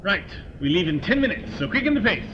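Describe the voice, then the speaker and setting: Exasperated commanding colonel played by a man, clipped orders, unusually high lively voice, dull sound, music underneath. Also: fast. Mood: brisk, commanding. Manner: clipped, authoritative. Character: brisk, exasperated male military colonel in command. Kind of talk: audio drama acting.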